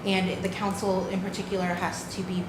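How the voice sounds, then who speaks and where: A woman's voice: lowish voice, completely flat delivery, brisk. A woman advising a county meeting, precise and cautionary about legal rules. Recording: echoey hall with background noise.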